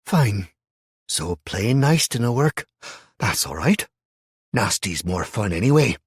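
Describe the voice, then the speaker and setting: A man's voice: medium pitch, lively intonation, moderate pace, breathy edge, no room sound, clean studio sound, voice pushed up. A man reading a story, voicing a tough young woman captor. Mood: snarling. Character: tough young woman captor, Scottish-tinged, turns nasty.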